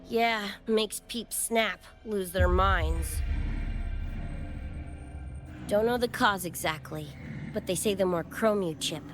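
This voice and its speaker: A woman's voice: mid-range voice, a little slow, over music, natural voice. A woman playing a brash, scrappy young cyberpunk gang member in a game, with cocky, slangy talk and a wistful side. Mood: explaining, offhand. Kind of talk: animated game character dialogue.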